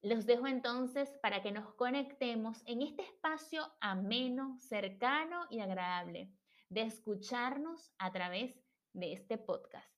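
A woman's voice: on the high side, measured pace, a bit bright. A woman hosting a podcast, reading a formal introduction and welcoming her guest. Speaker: podcast host. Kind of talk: scripted intro then interview hosting.

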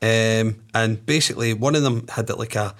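A man with a Scottish accent chatting casually and telling stories in an animated way, often checking that listeners follow. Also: lowish voice, normal speed. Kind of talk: casual chat, telling anecdotes.

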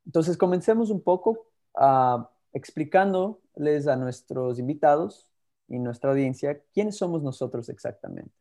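A young man co-hosting a podcast, from Ecuador by birth and raised in California. His voice is mellow and relaxed. He talks in an earnest, steady way, sometimes restarting a word. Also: on the high side, a little slow. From born in Ecuador, raised in California. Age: 20s. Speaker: podcast co-host. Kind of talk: scripted podcast intro and casual chat.

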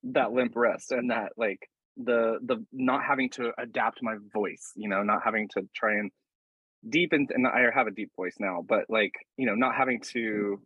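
Husky-voiced man, halting and reflective. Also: medium pitch, no echo, muffled recording. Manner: halting, reflective, quick to laugh. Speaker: drag queen guest. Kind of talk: casual interview chat. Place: indoors while getting into drag.

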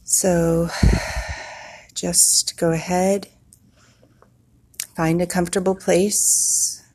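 Low, husky woman guiding a slow meditation. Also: flat delivery, darkish tone. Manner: slow, soothing, with long pauses. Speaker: meditation guide. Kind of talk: guided meditation.

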